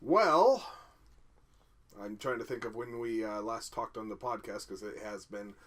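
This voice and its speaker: A man's voice: lowish voice, unhurried, a bit bright. A young man co-hosting a casual podcast, joking around and swearing freely. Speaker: podcast co-host. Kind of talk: casual chat.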